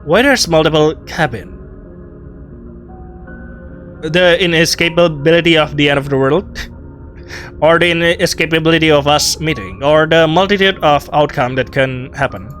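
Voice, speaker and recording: Higher-voiced man doing gameplay commentary. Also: normal speed, long pauses, fairly bright tone. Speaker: game streamer playing along. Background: faint game music.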